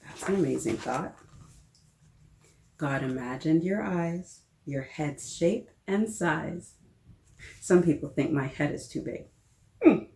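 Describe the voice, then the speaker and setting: A woman hosting a children's story time, reading a picture book aloud in a playful, expressive way and talking directly to her young listeners. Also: low voice, slow, gravelly, pauses often, slight background noise. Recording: roomy, echoing sound.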